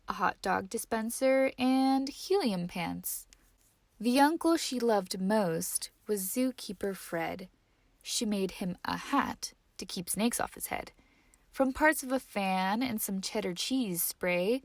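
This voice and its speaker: A woman reading a children's picture book aloud in an expressive, measured storytelling manner. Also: mid-range voice, creaky, no echo, clean studio sound. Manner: measured, dramatic storytelling with hushed phrase endings. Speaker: story reader.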